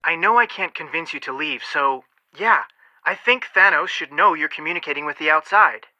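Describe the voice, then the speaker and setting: An actor playing a cautious, level-headed, skeptical young detective in scripted video game dialogue. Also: male voice, on the high side, normal speed, bright, forceful tone, dry recording, dull audio. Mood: concerned.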